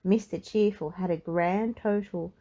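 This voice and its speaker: A woman reading a children's storybook aloud in an expressive, measured narrating style. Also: lowish voice. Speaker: children's book reader.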